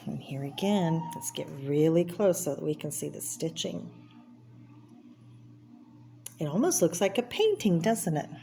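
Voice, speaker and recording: A woman with a slightly low voice reads a picture book aloud for children. She explains as she goes, pointing out details and putting questions to the listener. Background noise sits behind her voice. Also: big pitch swings, moderate pace, gravelly, dark tone. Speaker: read-aloud storyteller.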